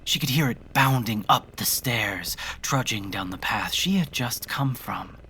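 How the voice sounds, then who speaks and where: Faint noise sits behind a narrator with a mid-range voice. The delivery is measured and literary, telling scripted horror fiction. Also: medium pitch, animated, pushed and bright, low-quality recording.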